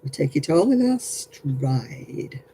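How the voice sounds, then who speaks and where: A man's voice: a little high, big pitch swings, slow, mellow, husky. A man chatting casually with viewers while crocheting on a livestream, self-mocking and laughing often. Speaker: craft livestream host.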